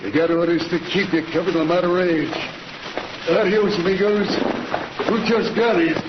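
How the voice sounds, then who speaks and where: An actor playing a gruff, menacing stagecoach robber in an old-time radio Western, with folksy cowboy speech. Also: male voice, steady tone, normal speed, roomy sound, lightly acted. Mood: threatening. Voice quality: gravelly voice, described as very deep. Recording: noisy, muffled, boxy old radio recording.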